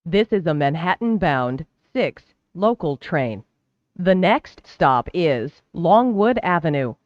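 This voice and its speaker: A train announcer delivering scripted transit announcements in a formal, measured, clearly enunciated way. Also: mid-range voice, very animated, dry recording, lo-fi sound. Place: recorded train public-address announcement.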